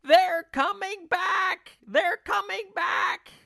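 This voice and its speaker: A man voicing a helpful, excitable plump bird from a children's storybook. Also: very high-pitched, very slow, gravelly, dry recording, studio quality, pitched above the performer's own voice, full character voice. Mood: screaming alarm.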